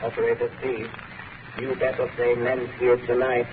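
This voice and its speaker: A cold, commanding saboteur chief in a 1930s radio drama. He issues clipped orders in a flat monotone. It comes through as a tinny shortwave recording. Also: mid-range voice, a little slow, heavy background noise. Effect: heard as a recorded shortwave message.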